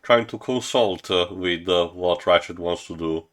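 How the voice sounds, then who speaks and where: Male co-host, lowish voice, casual narration with halting delivery and wry asides. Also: fairly bright tone. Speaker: co-host of a comic read-along.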